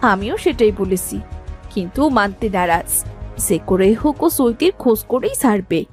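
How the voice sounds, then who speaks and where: A woman's voice: medium pitch, lively intonation, moderate pace, a little mellow, faint music behind, natural voice. A woman voicing a guarded, evasive village sister-in-law in a Bengali cartoon story. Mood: anxious.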